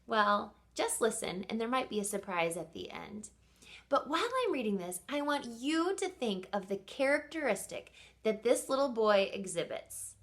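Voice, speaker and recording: Animated woman reading aloud to children. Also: a little high. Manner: animated, pauses to question young listeners. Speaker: kindergarten teacher and read-aloud host. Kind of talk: expressive storybook read-aloud for young children.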